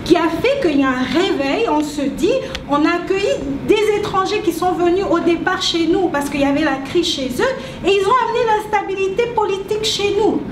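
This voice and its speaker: A woman explains her view in an earnest tone, her voice pitched high. She sounds set back from the microphone in an echoey space, with clear noise behind her. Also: brisk. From Congo. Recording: echoey, distant, noisy clip.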